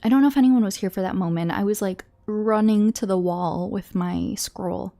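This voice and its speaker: Congested young woman, gravelly and mellow. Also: medium pitch, moderate pace. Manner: chatty, rambling with repeated restarts and quiet asides. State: congested, nasal from a cold. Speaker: streamer. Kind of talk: casual chat with stream chat.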